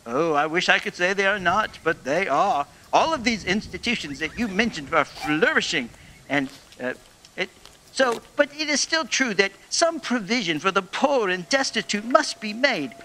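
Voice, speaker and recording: A man playing a cheerful, earnest, polite gentleman in a radio play, speaking courteously. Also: high voice, sing-song, normal speed, exaggerated voice. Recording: faint background noise.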